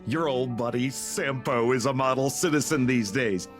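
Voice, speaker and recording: A man's voice: mid-range voice, lightly acted. A man playing a sly, theatrical scam artist in a video game, breezy and smarmy, with a glib, wheedling way of stretching words. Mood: smarmy, flattering. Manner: glib, wheedling, drawn-out emphasis. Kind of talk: video game character dialogue. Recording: game music behind the voice.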